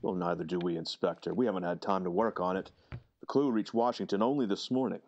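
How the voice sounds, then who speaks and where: An actor playing a distinguished, formal senior government official in a deliberate old-time radio drama style. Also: male voice, deep voice, fairly even intonation, a little mellow, highs cut off, slight background noise. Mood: businesslike.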